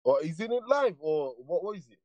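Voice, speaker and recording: A man's voice: on the high side, big pitch swings, moderate pace, darkish tone, dry recording, highs cut off. A man calls in to a livestream and chats casually, rambling in laid-back street slang. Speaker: caller. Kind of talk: casual call-in chat.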